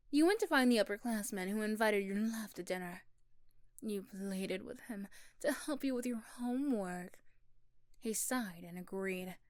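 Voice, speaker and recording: A woman reading scripted second-person story narration for ASMR, soft and hushed, often slipping toward a whisper. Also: on the high side, no echo, clean studio sound. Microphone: close, dry microphone.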